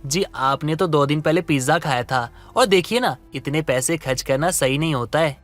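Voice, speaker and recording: A man voicing a meek, patient husband in a Hindi story cartoon, speaking politely and hesitantly, pleading gently. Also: on the high side, moderate pace, faint music behind, lightly acted. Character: meek, patient middle-class husband, lovestruck and submissive. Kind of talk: Hindi animated story dialogue.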